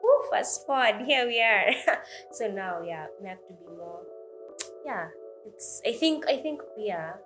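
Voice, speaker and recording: Kenyan woman, mid-twenties, podcast co-host; lively, laughs a lot, music bed underneath. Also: medium pitch. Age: 20s. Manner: animated, laughs often, talks quickly. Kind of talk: casual podcast chat mixing English and Swahili.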